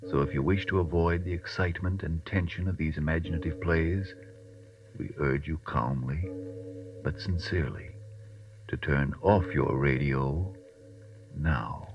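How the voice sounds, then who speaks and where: Casting: grave man, extremely low, measured vintage horror-radio announcing, dull distant recording with music behind. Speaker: programme announcer. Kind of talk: 1940s radio scripted announcement.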